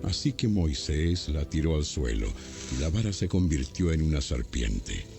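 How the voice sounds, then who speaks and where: A man's voice: rumbling low, very animated, moderate pace, gravelly, music in the background. A man narrating a scripted, dramatized Bible audio.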